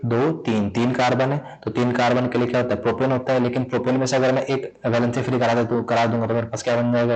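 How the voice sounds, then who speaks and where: A man from India teaches chemistry at a middle pitch, and his throat is sore enough that he stops to clear it. He poses a question, answers it, then says the answer again, in the patient rhythm of a classroom lesson. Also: a little mellow, low-quality recording. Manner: repetitive, asks and answers own questions. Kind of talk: explaining a lesson.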